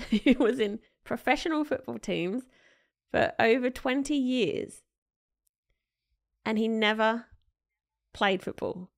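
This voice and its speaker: A woman's voice: fairly high pitch, slow, creaky, pauses often. A woman, co-hosting a casual podcast chat in a small studio, talking into a studio mic and often laughing as she explains. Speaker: podcast co-host. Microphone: studio mic with headphones.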